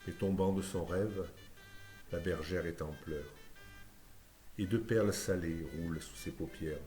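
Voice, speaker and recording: A man reciting a scripted poem in a lyrical, measured way, phrase by phrase. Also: deep voice, dark tone. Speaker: poetry reciter. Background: music.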